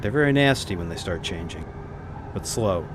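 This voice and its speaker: An actor in a radio drama playing a calm, logical polar researcher with a dry, matter-of-fact way of talking. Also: male voice, low-pitched, normal speed, noisy background. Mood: grimly dry.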